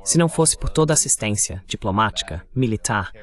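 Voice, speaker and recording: A man hosting a dubbed political interview, laying out his view in a measured, explanatory way. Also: on the low side, animated. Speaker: interview host. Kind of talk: political commentary, dubbed voice-over.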